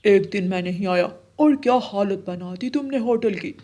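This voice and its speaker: A woman's voice: medium pitch, moderate pace, mellow, lightly acted. A woman voicing a stern, scolding but fair male pigeon who runs a business in a cartoon. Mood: angry scolding. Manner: firm, admonishing.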